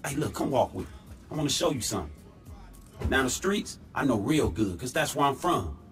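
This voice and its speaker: A man's voice: medium pitch, very animated, fairly quick, fairly bright tone, faint music behind. A man playing a confident, streetwise ex-hustler turned businessman in a video game, with slangy, swaggering speech. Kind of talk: video game cutscene acting.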